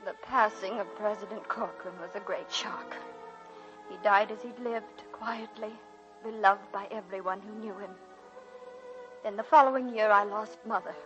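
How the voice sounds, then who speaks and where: An actress playing an elderly woman college teacher, a reflective and wry great-aunt, in gentle, theatrical 1940s radio drama style. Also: mid-range voice, fairly even intonation, moderate pace, muffled recording, over music, natural voice. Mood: wistful narrating. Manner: reminiscing, gentle, theatrical delivery. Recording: hissy 1940s radio broadcast recording.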